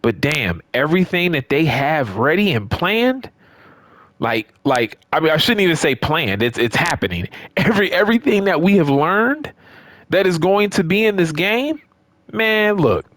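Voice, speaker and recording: A man with a slightly high voice gives casual, unscripted gaming commentary in a close, dry recording. He is emphatic, repeats phrases to drive them home and keeps checking in with his audience as he goes. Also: very animated, normal speed, fairly bright tone, no room sound. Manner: conversational, checks in with listeners. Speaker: gaming YouTuber.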